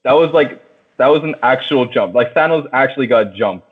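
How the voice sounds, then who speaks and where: A man's voice: medium pitch, expressive, moderate pace, breathy edge. Casual slangy man, tinny phone-like sound. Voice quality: slangy, profane. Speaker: podcast host. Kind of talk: casual chat, film discussion.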